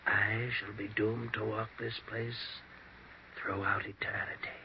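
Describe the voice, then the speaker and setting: A brooding male ghost in a vintage radio mystery. His delivery is grave, remorseful and gravelly, pressing each warning. The old recording is dull, with light hiss behind him. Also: fairly low pitch, level tone. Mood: hushed, doomed. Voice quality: hushed, breathy whispering at times. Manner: solemn, slow pleading warnings. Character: brooding ghost of a dead man, insistent and remorseful. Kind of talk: 1940s radio mystery acting.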